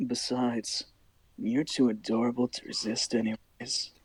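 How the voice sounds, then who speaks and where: A man's voice: medium pitch, level tone, measured pace, voice pushed down, natural voice, low-quality recording. A man voicing a cocky, teasing, flirtatious cartoon hedgehog in an amateur story reading. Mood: smug, flirty.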